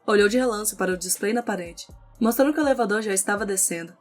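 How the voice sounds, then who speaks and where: A woman's voice: medium pitch, fast talker. A woman with a Brazilian accent reading scripted narration for an audio novel. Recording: music under the voice.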